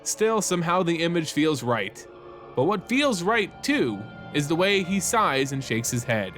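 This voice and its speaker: A man's voice: on the high side, big pitch swings, unhurried, a bit bright, soft background music. A man hosting a fantasy lore channel, reading a story as dramatic scripted narration.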